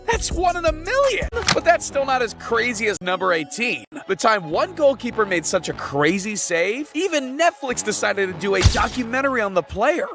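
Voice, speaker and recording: A high-voiced man hosts a football countdown with scripted hype. His delivery swings widely and is full of slang, swearing and exclamations. Music plays clearly behind him. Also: very animated, normal speed, hardly any pauses. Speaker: host narrating a football countdown video.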